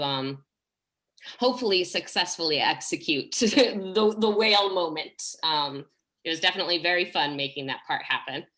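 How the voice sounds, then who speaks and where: A woman's voice: on the low side, bright, forceful tone. A woman speaking effusively and enthusiastically in a casual discussion, as a theater director and teacher.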